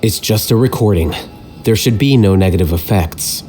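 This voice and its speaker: A man voicing a calculating young scholar in a video game, measured, aloof and matter-of-fact. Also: lowish voice, expressive, close to an everyday voice. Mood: composed, instructing. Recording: clear music behind the voice.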